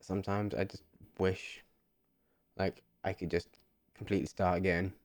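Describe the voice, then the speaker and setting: A man's voice: low voice, level tone, moderate pace, dry recording. A man, talking earnestly and a bit rambling to camera in a casual vlog. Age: 20s. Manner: earnest, rambling, repeats himself. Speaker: vlogger. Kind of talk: casual reflective monologue to camera.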